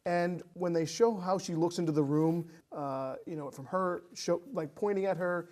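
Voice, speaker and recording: A man's voice: high voice, moderate pace, darkish tone. A man co-hosting a casual film discussion, feeling his way through thoughts with repeated phrases. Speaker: podcast co-host.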